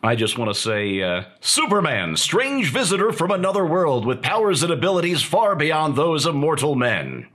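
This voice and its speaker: Middle-aged co-host on a video call. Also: male voice, on the high side, sing-song, moderate pace, hardly any pauses, fairly bright tone. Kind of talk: casual chat.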